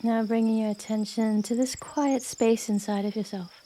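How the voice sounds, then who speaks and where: A woman guiding a yoga nidra meditation in a gentle, unhurried manner with long pauses. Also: fairly high pitch, fairly even intonation. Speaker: meditation guide. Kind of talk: guided yoga nidra meditation, soothing narration.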